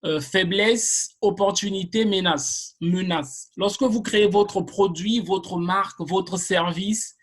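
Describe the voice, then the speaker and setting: Slow, hesitant live marketing teacher. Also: mid-range voice, breathy edge. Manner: hesitant, with frequent restarts and filler sounds; addresses viewers directly and explains points step by step. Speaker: marketing trainer. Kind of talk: live online marketing class with viewer comments.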